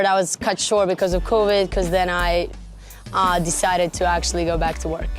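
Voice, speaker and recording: Young Croatian-born woman player, music behind. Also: medium pitch. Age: young adult. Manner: friendly, casual, some hesitations. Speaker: platform tennis player. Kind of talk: player profile interview.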